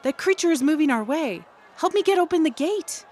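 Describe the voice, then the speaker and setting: A woman's voice: high-pitched, lively intonation, brisk, darkish tone, slight background noise. An actress playing a concerned, take-charge female teacher in an audio drama, speaking urgently. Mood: urgent, worried. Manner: urgent, directing. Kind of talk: dramatic audio fiction acting.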